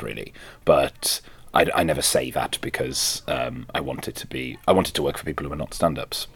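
A man's voice: a bass voice, slightly rough, no room sound. A Welsh man, a stand-up comedian and native Welsh speaker, chatting backstage and laughing often as he questions himself. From Wales. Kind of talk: casual backstage chat. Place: backstage at a venue.

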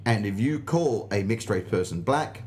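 A man's voice: lowish voice, expressive, moderate pace, a little mellow, slight background noise. An Australian man, a stand-up comedian being interviewed, telling anecdotes casually in an emphatic, sweary way and acting out the dialogue. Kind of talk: animated anecdote in casual conversation.

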